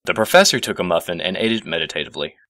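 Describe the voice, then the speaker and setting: A man's voice: low voice, expressive, very fast, fairly bright tone, no echo, studio quality. A man narrating a scripted story as its reader.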